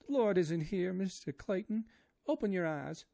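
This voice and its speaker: High wailing old woman servant, shrill, keening, distant-sounding. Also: animated, moderate pace, vocal fry, dark tone. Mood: pleading. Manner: old Southern rural dialect speech.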